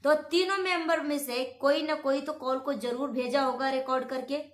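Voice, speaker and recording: A woman's voice: high voice, steady tone, normal speed. A woman giving opinionated gossip commentary in an accusatory manner, repeating phrases for emphasis. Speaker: online reaction commentator. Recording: roomy, echoing sound.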